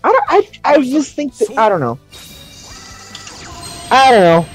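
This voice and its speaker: A man chatting casually on a gaming livestream in a rambling, excitable, sweary way. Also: very high-pitched, unhurried. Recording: music behind the voice. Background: music.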